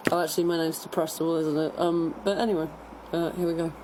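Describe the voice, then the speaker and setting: A woman co-hosting a casual radio chat in a halting, sardonic manner. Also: deep voice, level tone, background noise. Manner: hesitant, sardonic, sweary. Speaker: radio show co-host.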